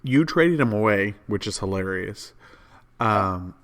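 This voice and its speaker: Man, hoarse from a cold, lowish pitch, relaxed podcast chat, lots of ums. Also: a little slow. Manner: easygoing, lots of fillers. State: hoarse, sick. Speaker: podcast co-host. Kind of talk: casual chat.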